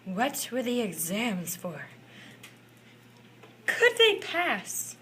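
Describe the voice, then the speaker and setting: Woman doing a high, swooping, excitable puppet pupil. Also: very slow, heavily acted. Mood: silly, curious. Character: silly, excitable, childlike puppet pupil interrupting class. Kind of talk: amateur school puppet show. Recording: faint background noise, amateur recording.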